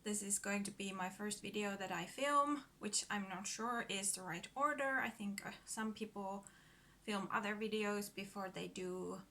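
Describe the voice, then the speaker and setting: A woman's voice: mid-range voice, normal speed, pushed and bright. A woman in her thirties from Finland with a Finnish accent, speaking English as a second language, chatting nervously to her phone camera at home with fillers and small laughs. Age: 30s. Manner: nervous, giggly, hesitant with many fillers. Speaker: book vlogger. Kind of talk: casual vlog talk to camera. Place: at home in front of a bookcase.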